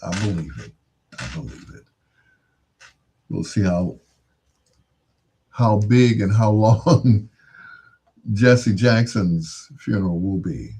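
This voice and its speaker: A man's voice: fairly low pitch, lively intonation, takes it slowly, pauses often, dark tone, slightly rough. An American man hosting in a casual, rambling monologue, musing aloud and posing rhetorical questions. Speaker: radio-style host.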